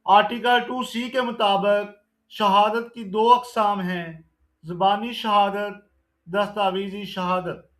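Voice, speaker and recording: A man with a Pakistani accent giving a scripted exam lesson in a formal, measured, deliberate manner. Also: high voice, flat delivery, fairly bright tone. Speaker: law exam lecturer. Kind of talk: scripted lesson narration, reading quiz questions and explaining answers.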